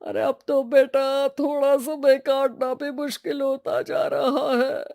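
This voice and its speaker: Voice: sits high, flat delivery, slow pace, darkish tone, no room sound, clean studio sound. A performer voicing an anxious, helpless elderly village father, plaintive and colloquial, in a cartoon moral story. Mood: distressed.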